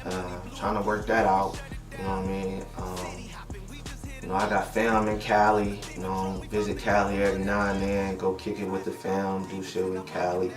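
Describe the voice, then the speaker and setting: A man's voice: low voice, completely flat delivery, moderate pace. A young man talking casually in an interview, slangy and laid-back, often asking "you know what I mean.". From Detroit, USA. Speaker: interviewed rapper. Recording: roomy, distant mic, music behind.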